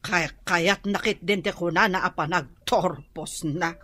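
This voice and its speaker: An actress playing a fretful, nagging middle-aged rural wife in an Ilocano radio drama, speaking with an Ilocano accent. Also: female voice, lowish voice, unhurried, sharp tone, dry recording. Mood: worried, complaining.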